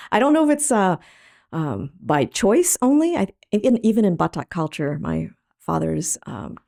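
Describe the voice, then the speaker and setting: Low gravelly woman, thoughtful and halting. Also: big pitch swings, dark tone. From Thailand. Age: middle-aged. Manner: thoughtful, halting, many ums. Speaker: artist guest. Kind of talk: reflective interview talk.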